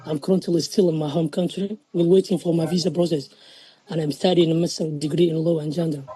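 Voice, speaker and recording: A man's voice: a little high, fairly even intonation, quick pace, warm tone, low-quality recording. A man student from Somalia politely introducing himself on a video call.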